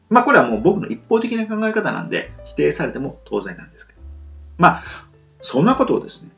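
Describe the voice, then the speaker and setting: Male podcast host, reflective and polite, creaky voice with lively intonation, rambling through a casual monologue. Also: mid-range voice. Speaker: solo podcast and radio show host. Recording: muffled lo-fi, soft guitar music behind. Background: plucked guitar music.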